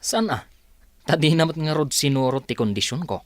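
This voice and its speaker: A man's voice: on the high side, animated, normal speed, dry recording, lightly acted. A man acting a defensive, evasive young rich son in an Ilocano radio drama, hesitant and protesting.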